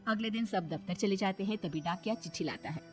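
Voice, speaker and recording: A woman's voice: medium pitch, quick pace. Woman narrating a cartoon story over music. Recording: music behind the voice. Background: background music.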